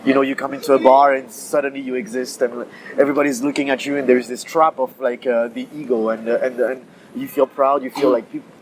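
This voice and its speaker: A man in his thirties from France, a guest in a casual interview, who talks haltingly with many fillers and restarts. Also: mid-range voice, level tone, low-quality recording. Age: 30s. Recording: busy crowd chatter behind.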